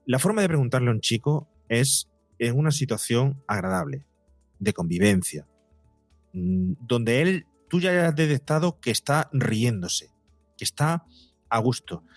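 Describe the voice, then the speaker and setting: A man's voice: mid-range voice, animated, a little slow, gravelly, no echo, soft background music. A man from explains as an interview guest, didactically, posing rhetorical questions and speaking conversationally. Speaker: psychologist and podcaster, interview guest.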